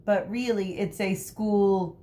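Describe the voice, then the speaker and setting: A woman teacher reading a storybook aloud to students, adding explanatory asides in a deliberate way. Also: mid-range voice, steady tone, dark tone, slight background noise.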